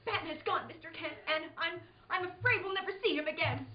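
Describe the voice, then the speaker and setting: A woman's voice: extremely high pitch, a little fast, pitched above the performer's own voice. A woman voicing an upset, frightened young boy sidekick in an old-time radio play, stammering and pleading. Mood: distraught. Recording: noisy, roomy, dull live recording.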